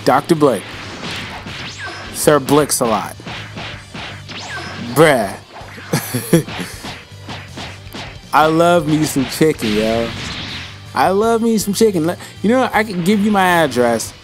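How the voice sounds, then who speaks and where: A man's voice: on the high side, animated, slow, pauses often, over music. A young man answering viewer questions in a casual vlog, rambling and slangy and calling viewers bro, with his voice starting to go. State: losing his voice.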